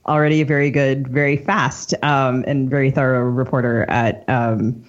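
A woman's voice: a bass voice, level tone, moderate pace. A woman hosting a podcast in a playful, casual style. Her voice is on slightly dull, band-limited audio as she banters and introduces guests. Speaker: podcast co-host and novelist.

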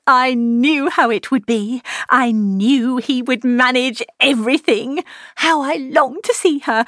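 A woman voicing an excitable, silly middle-aged mother in an audiobook, gushing and fussing in exclamations. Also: mid-range voice, dry recording, studio quality. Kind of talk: audiobook character voice.

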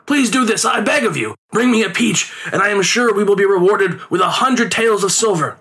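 A man plays a wily old street magician from a storybook, a melodramatic father who complains and pleads. The voice is pitched high, swooping and bright, well above an everyday register. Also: lively intonation, fairly quick. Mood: pleading. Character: theatrical aging male street conjurer, wily, lamenting father. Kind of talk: storybook character voice.

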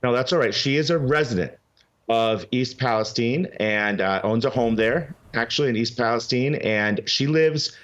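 A man's voice: mid-range voice, moderate pace, a bit bright, dull audio. A man as a guest on a talk show, speaking urgently and emphatically, often restarting his sentences. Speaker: guest, legal advocacy group representative. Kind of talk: animated call-in interview.

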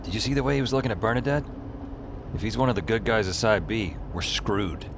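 A man's voice: lowish voice, fast, background noise, natural voice. An actor playing a flippant, wry young man with magic powers in an audio drama, speaking colloquially. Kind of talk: audio drama acting and first-person narration.

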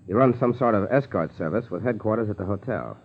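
Through a tinny 1940s radio recording comes an American FBI agent, low in pitch. He is watchful and businesslike, in the style of an old radio play. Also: lively intonation, fast, breathy edge.

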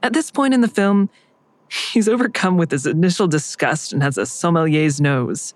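A dry, close recording of an actress as a wry female narrator in an audio drama. Her voice sits fairly low and sounds natural, like a daughter telling her own story with some exasperation. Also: moderate pace, no echo, lightly acted. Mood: wry, reflective.

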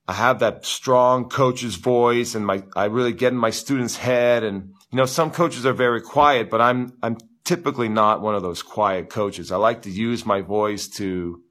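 A man hosting a live viewer Q&A as a tennis coach, with a big booming voice and an animated, ranting delivery full of repeats and "you know". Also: normal speed, hardly any pauses. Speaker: tennis coach, show host. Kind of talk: live-stream chat with viewers.

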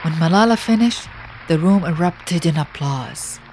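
A woman reading a scripted storybook biography as narrator, in a measured, expressive way with dramatic pauses. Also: fairly low pitch, some chatter behind, a little hiss or hum, poor audio.

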